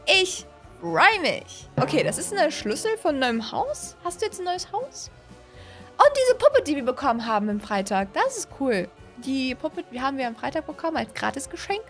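A woman in her twenties giving casual, excited reaction commentary as a gaming host, exclaiming and repeating herself into a close desk mic. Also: high voice. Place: small home streaming room, indoors. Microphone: desk condenser microphone, close.

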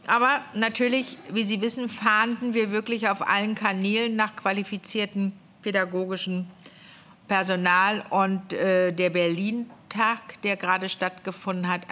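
A woman's voice: mid-range voice, fairly bright tone. A woman in her fifties, a government official, reporting to a parliamentary committee in a meeting room, talking freely and animatedly, often restarting her phrases. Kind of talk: spontaneous spoken report. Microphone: gooseneck desk microphone.

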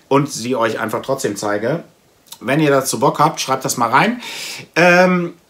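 A man's voice: a little high, a bit bright. A man, chatting unscripted to camera in a hesitant, rambling, self-correcting way. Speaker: comic vlogger. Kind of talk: casual unscripted chat to camera. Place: small indoor room with full comic shelves.

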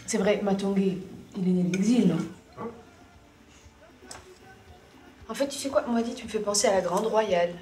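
A woman's voice: medium pitch, fast talker, dark tone, lightly acted. An actress playing a bookish, argumentative exiled woman in her thirties, teasing and questioning in naturalistic film dialogue. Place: dining room at a dinner table. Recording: echoey room, faint music, clinking dishes.

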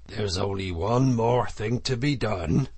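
A man's voice: on the low side, slow pace, a little mellow, breathy edge, dry recording. A man voicing a stern, authoritative badger from a storybook, speaking in a solemn, firm, oratorical manner. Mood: gratified, solemn. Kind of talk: storybook character voice.

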